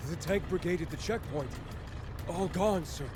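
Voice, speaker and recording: A man's voice: sits high, moderate pace, noisy background, natural voice. A man playing an anxious, obedient army subordinate in a video game, reporting dutifully. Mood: nervous, dutiful.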